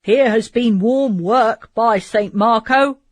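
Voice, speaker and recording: A rough, surly soldier with a loud, blunt voice, as a woman reader puts him on. The sound is close and dry, with no room around it. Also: on the high side, slow, no room sound, pitched above the performer's own voice. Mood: hearty. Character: surly gruff male soldier.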